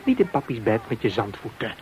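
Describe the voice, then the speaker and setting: An actor playing a soldier musing on sand and childhood in a radio drama monologue. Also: male voice, mid-range voice, big pitch swings, brisk, lightly acted. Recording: noisy radio play recording.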